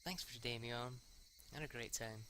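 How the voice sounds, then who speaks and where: A man's voice: medium pitch, moderate pace, bright, forceful tone, slight background noise, close to an everyday voice. Man reading as an easygoing teenage boy. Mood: relaxed. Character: casual, good-natured teenage city boy. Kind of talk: visual novel character reading.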